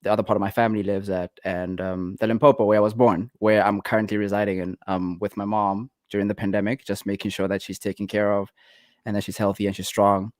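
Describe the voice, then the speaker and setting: A man's voice: on the low side, fast, dry recording, clean studio sound. A young South African man with a South African accent, a guest artist talking casually in an interview. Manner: relaxed, many fillers and restarts.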